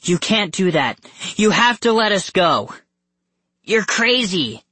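Scared, defiant boy played by a man, audiobook. Raised pitch, forceful, urgent demands. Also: big pitch swings, slow, breathy edge, dry recording, exaggerated voice. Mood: desperate anger. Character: defiant frightened boy, demanding answers and release.

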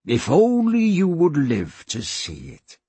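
A man voicing a menacing, gloating pirate villain in an audiobook, with a taunting manner and rough, ungrammatical speech. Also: on the high side, huge pitch swings, takes it slowly, warm tone, dry recording, voice pushed up, heavily acted.

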